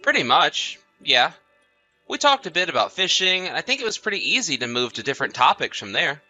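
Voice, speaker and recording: A man's voice: fairly high pitch, big pitch swings, brisk, pushed and bright, dry recording, faint music behind, full character voice, low-quality recording. A man playing an easygoing, sociable husband in chatty, casual audio drama dialogue. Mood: easygoing, animated. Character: easygoing husband, sociable, placating, mildly defensive.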